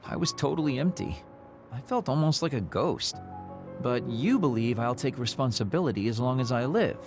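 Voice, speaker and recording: A man's voice: medium pitch, expressive, normal speed, close to an everyday voice. A man playing a laid-back young video game character, casual and a little theatrical, with melancholy under the playfulness. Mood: subdued, reflective. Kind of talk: English video game voice acting. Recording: clear music behind the voice.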